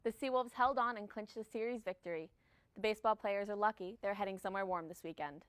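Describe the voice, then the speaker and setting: A woman's voice: mid-range voice, quick pace, clean tone. A young adult woman working as a student news anchor, delivering scripted campus news.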